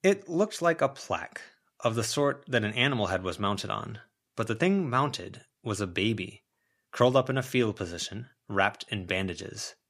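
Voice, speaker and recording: A man's voice: lowish voice, expressive, moderate pace, fairly bright tone. A man reading a story aloud as a scripted audiobook narration.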